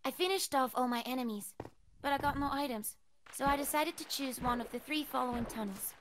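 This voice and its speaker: A woman playing an adventurer who narrates a dark quest in scripted first person for a game. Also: high voice, brisk, natural voice. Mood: earnest storytelling. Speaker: story narrator. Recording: clear background noise.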